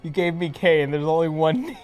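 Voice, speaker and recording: Lowish-voiced woman tabletop player. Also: expressive, brisk, darkish tone, faint music behind. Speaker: player in a tabletop role-playing game. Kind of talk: casual chat at a game table.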